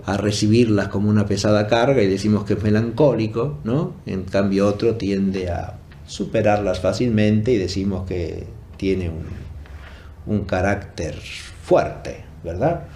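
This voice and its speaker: A man giving an unscripted academic lecture in a formal, didactic and deliberate way, rephrasing as he goes and often checking in with short tag questions. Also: lowish voice, dark tone, slight background noise. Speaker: philosophy lecturer.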